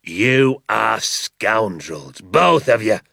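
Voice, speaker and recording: A man voicing a blustering, pompous rich landowner who shouts and bullies, in a storybook reading. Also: sits low, unhurried, slightly rough, no room sound, clean studio sound, heavily acted. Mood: furious. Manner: shouting, indignant.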